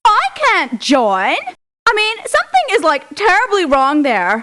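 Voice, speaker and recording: A woman's voice: very high voice, wildly swooping pitch, measured pace, fairly bright tone, heavily acted. An actress playing a young, ditzy, squeamish valley girl mercenary in a video game, with an American Valley girl accent and a whiny manner. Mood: whiny, affronted. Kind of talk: theatrical video game voice clips.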